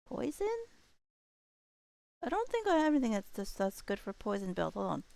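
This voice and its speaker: A woman's voice: mid-range voice, sing-song, moderate pace, vocal fry, darkish tone, dry recording. A woman doing casual live commentary as she plays a game, thinking aloud and talking to herself and to the game. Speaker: game streamer.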